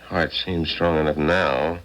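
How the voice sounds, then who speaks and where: An actor playing a folksy, good-humored small-town family doctor in a 1970s radio drama, easygoing and wry. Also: male voice, a bass voice, steady tone, slow, fairly bright tone, highs cut off, exaggerated voice. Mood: kindly, reassuring. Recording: 1970s radio broadcast recording.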